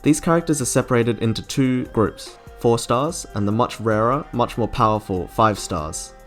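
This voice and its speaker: A man's voice: medium pitch, normal speed, soft background music. A man narrating a scripted video essay as its host.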